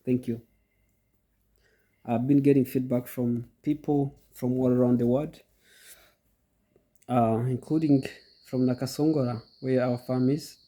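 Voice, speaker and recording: A man's voice: medium pitch, steady tone, measured pace, pauses often, warm tone. A middle-aged goat farmer with a cold, hosting his channel and explaining step by step in a chatty way to his viewers, often repeating himself. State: congested from a cold. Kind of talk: casual instructional talk to viewers.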